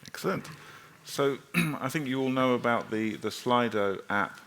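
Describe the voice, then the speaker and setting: A man's voice: mid-range voice, normal speed, a bit bright, a little hiss or hum. A man moderating a conference panel, polite and inviting, with many hesitant fillers. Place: conference stage in a hall.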